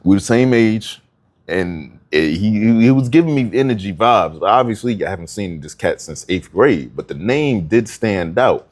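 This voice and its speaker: Lively low-voiced man from New Jersey. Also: moderate pace. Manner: storytelling, laid-back slang. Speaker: podcast co-host. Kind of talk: casual chat.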